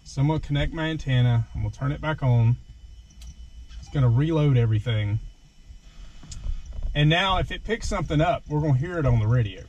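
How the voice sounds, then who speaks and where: A man's voice: fairly high pitch, a little fast, long pauses, a little mellow, lo-fi sound. A man talks casually and without a script inside a parked truck, explaining his gear step by step in a plain-spoken way. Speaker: vlogger demonstrating his gear. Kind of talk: casual unscripted product walkthrough.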